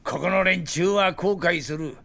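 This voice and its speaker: A man's voice: sits high, measured pace, vocal fry, sharp tone, exaggerated voice. An actor playing a drunk, bitter old Soviet weapons designer in a video game, boastful and theatrical in his indignant ranting. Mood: grandiose. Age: older. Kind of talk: dramatic video game cutscene acting.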